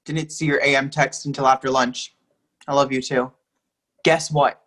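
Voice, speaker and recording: A man's voice: mid-range voice, little pitch movement, normal speed, fairly bright tone, close to an everyday voice. An actor playing a thirty-ish man's affectionate, casual texting voice in a play reading. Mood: affectionate, upbeat. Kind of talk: readers theatre dialogue.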